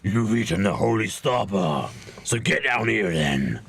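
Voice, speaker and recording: A grand, menacing devil of a radio comedy as a man acts him out, low and husky. He draws out his pronouncements theatrically, with big swoops in pitch. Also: very animated, a bit bright, full character voice. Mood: ominous, commanding. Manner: drawn-out, theatrically pronounced delivery. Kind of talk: comedic amateur radio play.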